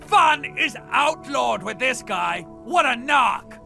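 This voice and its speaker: A high, piercing young brat in a video game. The voice is extremely scratchy and sharp, jeering slowly with foul-tempered mockery. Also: animated, vocal fry, soft background music, full character voice. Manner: mocking, drawn-out.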